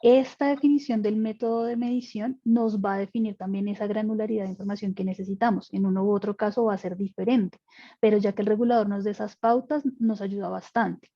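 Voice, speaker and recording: A woman's voice: mid-range voice, fairly even intonation, a little fast, warm tone, highs cut off. A woman panelist giving a technical explanation on an online webinar, talking things through with fillers and self-corrections. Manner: explanatory, with frequent fillers and restarts. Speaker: panelist, insurance expert.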